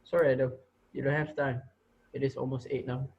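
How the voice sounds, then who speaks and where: A man's voice: medium pitch, level tone, a little fast, a little mellow, muffled recording, natural voice. A man playing a sinister, taunting teacher holding his students captive in an amateur dramatic reading. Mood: coldly controlled. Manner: theatrical, taunting. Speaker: actor in a student reader's theater.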